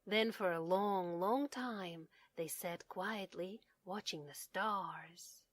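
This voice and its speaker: A woman's voice: on the low side, slow. A woman narrating a children's story as a read-aloud. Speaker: storybook reader.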